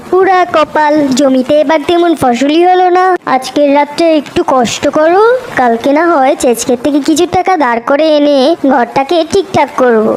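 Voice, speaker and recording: Woman voicing a high-pitched, humble poor fox husband. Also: darkish tone, slight background noise, natural voice. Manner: pleading, folksy, plaintive. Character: poor, humble, hard-luck male fox villager, husband and father. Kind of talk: cartoon folktale character acting.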